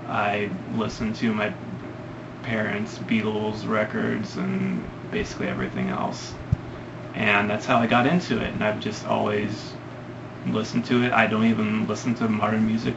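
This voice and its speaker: Young man, gravelly, lowish voice, hesitant and rambling, dull noisy home recording. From Ohio. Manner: hesitant, rambling with many ums. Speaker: vlogger answering questions. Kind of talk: casual Q&A vlog.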